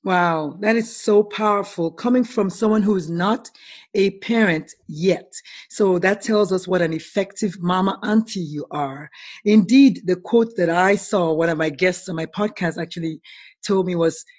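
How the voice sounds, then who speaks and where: A woman's voice: lowish voice, normal speed, a little mellow, no room sound. A woman hosting a podcast, speaking in an encouraging, affirming way. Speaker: podcast host. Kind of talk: casual interview.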